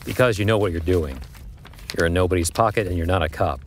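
An actor playing a wary, secretive police detective in a noir audio drama, speaking in a terse, hushed and guarded manner. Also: male voice, sits low, quick pace, a little hiss or hum, natural voice. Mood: guarded, earnest.

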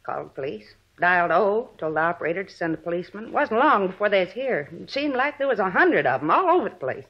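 A woman's voice: medium pitch, sing-song, fast, few pauses, slightly rough. Folksy, gossipy neighbor woman, muffled recording. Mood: shaken, recounting. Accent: American. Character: gossipy middle-aged married woman neighbor, plain-spoken and insinuating. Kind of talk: 1950s radio drama acting. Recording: dull vintage radio recording.

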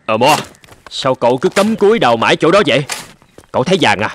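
A man's voice: medium pitch, big pitch swings, fast, noisy background, close to an everyday voice. A man playing an anxious, stubborn gold prospector in a cartoon, with a fretful, complaining manner. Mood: exasperated. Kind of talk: cartoon dub acting. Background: music.

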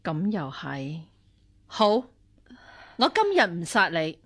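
An actress playing a stern, commanding Buddhist nun and martial arts master in a radio drama, speaking in an imperious, interrogating way. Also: female voice, on the low side, huge pitch swings, slow pace, a bit bright, slightly rough, full character voice. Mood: cool, admonishing. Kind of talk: Cantonese radio drama acting.

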